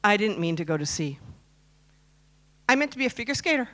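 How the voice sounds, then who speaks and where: A woman in her fifties from Canada speaks on a conference stage. She tells a personal story in a chatty way and keeps asking the crowd questions. Her voice sits at an ordinary pitch for a woman. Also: sing-song, a little fast, fairly bright tone. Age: 50s. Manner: chatty, asks the audience questions. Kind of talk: personal story talk to a live audience.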